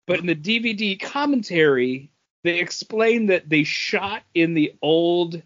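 A man's voice: high voice, animated, unhurried, fairly bright tone, no room sound, highs cut off. A man co-hosting a podcast in casual chat, telling anecdotes and acting out little exchanges as he goes. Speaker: podcast co-host.

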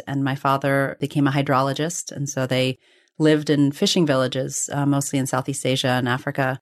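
A woman being interviewed, telling personal stories conversationally in a reflective, discursive way, often qualifying and correcting herself. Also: deep voice. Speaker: interview guest.

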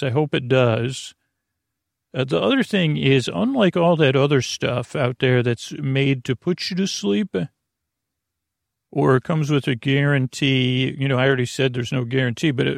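A man with a creaky, gravelly voice at a middling pitch talks as a podcast host in a bedtime monologue. He meanders and halts, drifting off into tangents and leaving thoughts unfinished. The sound is close and dry. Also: no room sound. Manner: meandering, halting, trails off into tangents.

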